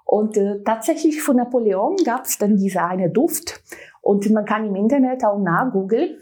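A woman's voice: mid-range voice, unhurried, a little mellow, slightly rough. A woman from Brazil, a native Portuguese speaker with a Brazilian accent, talking enthusiastically as a talk show guest with many fillers. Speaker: guest, brand manager.

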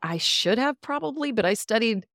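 A woman's voice: on the high side, animated, moderate pace, fairly bright tone, no echo, clean studio sound. A woman in her fifties from Central Texas, a longtime radio voice, telling her life story in a reflective, chatty way as a podcast guest. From Central Texas, USA. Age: 50s. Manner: reflective, chatty, with easy laughs. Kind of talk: casual interview storytelling.

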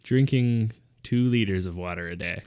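A man co-hosting a comedy podcast in casual chat, joking with dry deadpan asides. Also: lowish voice, normal speed, clear, resonant tone. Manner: joking, deadpan asides, puts on a mock announcer voice at the start. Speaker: podcast co-host.

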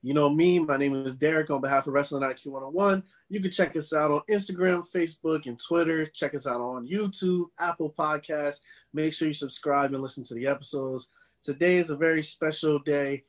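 Energetic man hosting a podcast. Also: a little high, fairly quick. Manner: energetic, slangy, laughs a lot. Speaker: podcast host. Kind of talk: casual podcast chat.

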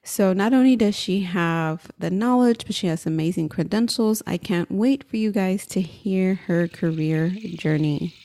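A woman's voice: on the low side, measured pace, hardly any pauses, warm tone. A woman hosting a podcast, introducing and questioning her guest in a conversational interview style.

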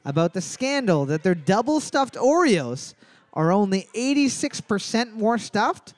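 A man's voice: sits high, dry recording. A man hosting a live trivia night, reading questions and spelling out answer choices letter by letter, joking between rounds. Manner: animated, spells out answers slowly. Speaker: trivia host.

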